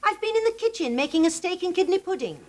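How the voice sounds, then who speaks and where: A woman's voice: very high voice, very animated, fast. A woman playing a long-suffering, wryly scheming English suburban wife in a British TV sitcom. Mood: dryly scheming. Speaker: sitcom actress. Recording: noisy old TV sitcom recording.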